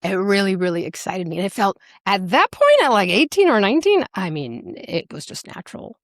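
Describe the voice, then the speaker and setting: A woman with an American accent, speaking casually as an interview guest, animated and playful as she tells her stories. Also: mid-range voice, brisk, no echo, studio quality. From Alaska, United States. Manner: animated, playful, acts out remembered remarks. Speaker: singer, podcast guest.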